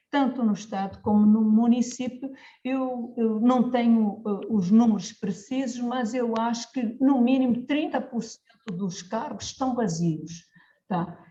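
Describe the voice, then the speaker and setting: A woman's voice: fairly high pitch, warm tone. A woman from Mozambique with a European Portuguese accent, speaking at an online panel, hesitant with fillers and self-corrections. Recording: dull lo-fi video call audio.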